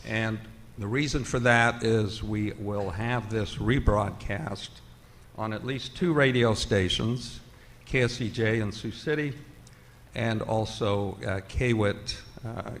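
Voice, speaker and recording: A man giving a measured, halting, formal welcome speech as host at a live theater event. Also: lowish voice. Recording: echoey hall, noticeable noise.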